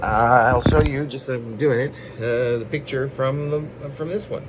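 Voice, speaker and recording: A man's voice: mid-range voice, a little slow, background noise. A man reading his own poems in an informal, rambling way, with self-deprecating asides and playful drama. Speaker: poet reading own work.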